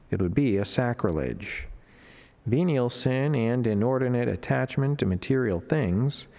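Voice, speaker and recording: Man, middle-aged, mid-pitched voice, vocal fry, solemn measured delivery, devotional reading at a lectern. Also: a little mellow. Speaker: Franciscan friar preacher. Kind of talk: read devotional reflection and prayer. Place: indoor chapel, at a lectern beside the altar. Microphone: lectern microphone.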